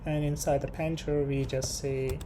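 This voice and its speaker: A programming tutorial presenter talking through code unscripted, haltingly thinking aloud while working. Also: mid-range voice, little pitch movement. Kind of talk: unscripted screen-share coding tutorial. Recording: clear background noise with keyboard typing.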